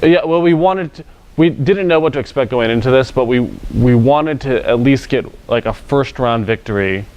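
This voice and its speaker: A man's voice: medium pitch, moderate pace, lo-fi sound. A man in his twenties from California, a professional poker player answering interview questions in a casual, filler-heavy way. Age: 20s. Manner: relaxed, filler-heavy, self-correcting.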